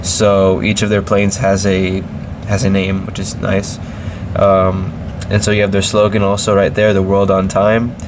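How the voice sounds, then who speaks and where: Casual reviewer, male, low and monotone, stuffy from a cold, stumbling with fillers, steady hum behind. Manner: hesitant, many ums and restarts. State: slightly congested from a cold. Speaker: model aircraft reviewer.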